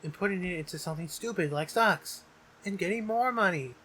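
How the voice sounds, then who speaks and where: Higher-voiced man, very bright, joking around. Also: fairly quick, breathy edge. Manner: profane, jokey, insistent riffing. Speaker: podcast co-host. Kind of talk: casual comedic banter.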